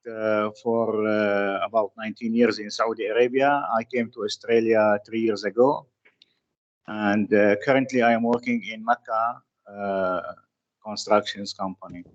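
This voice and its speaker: A man in his fifties from Syria, introducing himself slowly and carefully with many hesitations on a video call. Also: lowish voice. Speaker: student. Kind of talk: self-introduction. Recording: dull lo-fi video call audio.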